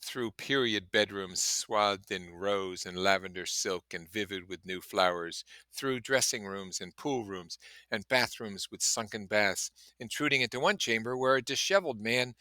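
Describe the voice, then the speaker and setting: A man's voice: on the low side, moderate pace, hardly any pauses, bright, forceful tone, no room sound. A man reading a novel aloud expressively, with casual explanatory asides. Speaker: reader and commentator.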